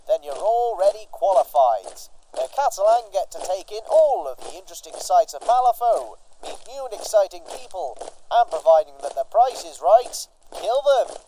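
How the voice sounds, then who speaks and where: A man with a high, mellow voice announces a scripted mock advert. His pitch moves in a lively way, over a noisy recording. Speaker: show announcer.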